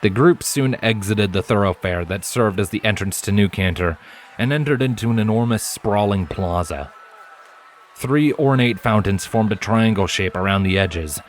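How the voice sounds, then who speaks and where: A man's voice: lowish voice, animated, normal speed, slight background noise. A man reading a story aloud as scripted narration. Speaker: fan fiction narrator.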